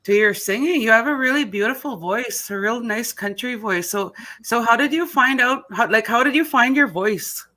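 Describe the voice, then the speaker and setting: A woman's voice: fairly high pitch, moderate pace, pushed and bright. A woman hosting a live online interview over a video call, hesitant, reading out viewer comments between questions. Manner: hesitant, reads out viewer comments, many fillers. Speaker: host.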